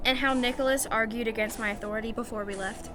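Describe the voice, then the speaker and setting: A woman's voice: a little high, fairly even intonation, fast talker, over music, lightly acted. A woman playing an earnest, self-doubting young adventuring leader in an audio drama. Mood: unsure, questioning. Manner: earnest, unsure.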